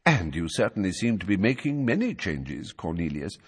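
Composed old wizard, a man reading, measured and polite, slightly husky, long reverb. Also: deep voice, very animated, full character voice. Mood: calm. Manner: measured, courteous, unhurried. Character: calm, courteous old male wizard, coolly polite.

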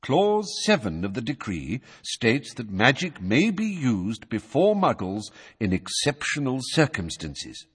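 Courteous elderly wizard voiced by a man, unhurried, lively lilt, echoing hall. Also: mid-range voice, husky, pitched above the performer's own voice, exaggerated voice. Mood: calm. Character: calm, courteous old male wizard, coolly polite. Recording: long hall reverb.

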